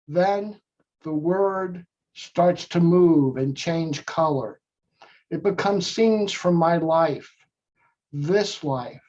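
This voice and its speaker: A man leading a guided meditation, speaking in measured short phrases with long pauses between them. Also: a little high, a little mellow. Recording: dull lo-fi audio.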